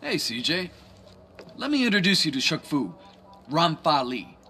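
Bright-voiced, courteous Triad boss. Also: male voice, on the high side, sing-song, slight background noise. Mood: courteous, serious. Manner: formal, polite, measured wording. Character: courteous, earnest male Chinese Triad boss. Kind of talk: video game cutscene acting.

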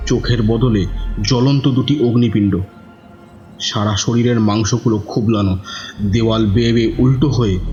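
A man's voice: medium pitch, close to an everyday voice. Man as nephew narrating horror, slightly muffled. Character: devoted young nephew narrating his uncle's horror adventure. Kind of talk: dramatic scripted horror narration. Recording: dull lo-fi, music behind.

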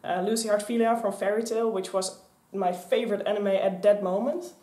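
A young woman in her twenties with a Dutch accent, chatting casually to camera in a rambling, animated way. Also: medium pitch, normal speed. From Netherlands. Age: 20s. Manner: chatty, rambling, gesturing a lot. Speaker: vlogger. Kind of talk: casual vlog chat. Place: small craft room with shelves and mannequins, indoors. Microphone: camera on a stand in front. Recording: echoey room.

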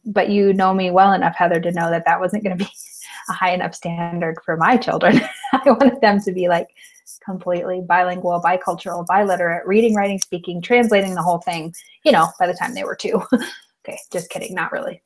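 A chatty woman in her thirties from Colorado, talking casually on a video call. Her voice sits a little low for a woman, and she laughs easily as she tells a story. The sound comes through an earbud headset in a small room at home. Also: poor audio. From Colorado, United States. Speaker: podcast co-host. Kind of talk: casual chat.

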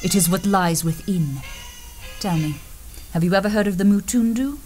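An actress playing a fierce, proud adventuress and jungle guide in a 1930s-style radio serial. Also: female voice, mid-range voice, moderate pace, darkish tone, faint music behind, lightly acted. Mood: ominous.

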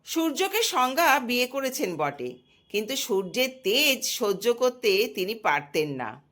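A woman storyteller narrating a scripted mythological tale in an expressive, dramatic manner. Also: a little high, measured pace.

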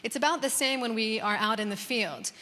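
A woman's voice: a little high, a little fast. A woman in her thirties with an American accent giving a conversational conference talk, with frequent "um"s and restarts. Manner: warm conversational, many "um"s and restarts. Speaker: conference speaker. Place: large conference hall. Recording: reverberant hall.

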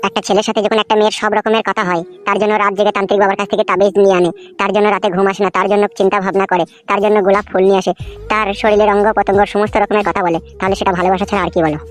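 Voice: medium pitch, level tone, racing, faint music behind, lightly acted. A performer voicing a crude, wheedling, lovestruck younger brother-in-law in a comic cartoon. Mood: defensive, wounded.

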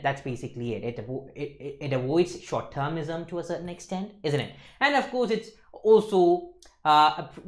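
A man in his twenties with an Indian accent, teaching an exam class to camera in an emphatic way, often checking in with his listeners. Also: fairly high pitch. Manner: emphatic, with frequent checking tags like "okay, folks". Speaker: accountancy exam tutor. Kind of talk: instructional lecture to camera. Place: studio.

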